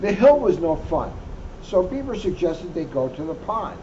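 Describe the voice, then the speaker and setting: A police officer reading a children's picture book aloud, adding wry asides. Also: male voice, sits high, very animated, moderate pace, dark tone. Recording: muffled lo-fi recording with faint hum.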